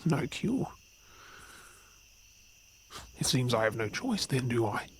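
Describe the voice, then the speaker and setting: A man's voice: medium pitch, very animated, moderate pace, natural voice. A man playing an earnest, brooding werewolf, weary of hiding, in a supernatural ASMR audio drama. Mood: doubtful. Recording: faint noise with chirping night insects.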